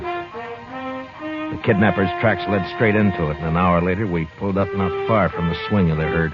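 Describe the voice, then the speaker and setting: Deep-voiced stern frontier marshal. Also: male voice. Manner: terse, deliberate. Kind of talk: 1950s radio western acting with first-person narration. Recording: dull vintage radio drama recording, music behind.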